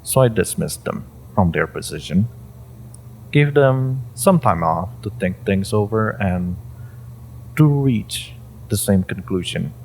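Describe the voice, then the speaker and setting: A man playing a suspicious, coldly patient agency interrogator in a dramatic audio roleplay, speaking deliberately and sardonically with pointed pauses. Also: fairly low pitch, lively intonation, a little hiss or hum, poor audio. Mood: sardonic, accusing.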